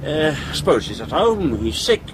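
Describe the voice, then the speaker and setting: Shifty British car park manager, higher-voiced. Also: male voice, big pitch swings, moderate pace, noisy background. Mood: nervous, evasive. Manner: defensive, colloquial, hedging. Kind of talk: broadcast radio drama acting.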